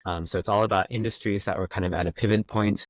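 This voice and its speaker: Deep-voiced American man, dry close sound. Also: steady tone, quick pace, no room sound. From United States. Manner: thoughtful, frequent fillers and restarts. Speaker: guest. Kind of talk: conversational interview.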